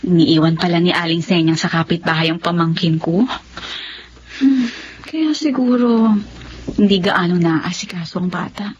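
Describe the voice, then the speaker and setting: A woman's voice: lowish voice, moderate pace, pauses often, slight background noise, lightly acted. A woman playing a polite, caring young teacher and aunt in a Filipino radio drama. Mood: worried. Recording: slightly muffled audio.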